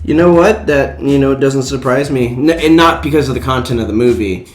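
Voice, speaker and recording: A man's voice: mid-range voice, a little fast. A young adult American man co-hosting a podcast, chatting casually and slangily about movies. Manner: informal, slangy, mildly profane. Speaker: podcast co-host. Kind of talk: casual movie news chat. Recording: roomy echo, music behind.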